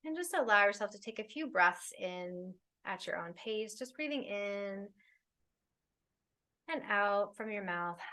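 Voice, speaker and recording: A woman leading a guided meditation, speaking soothingly in unhurried phrases with long pauses. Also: mid-range voice, animated, sharp tone. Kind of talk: guided meditation, spoken slowly to listeners.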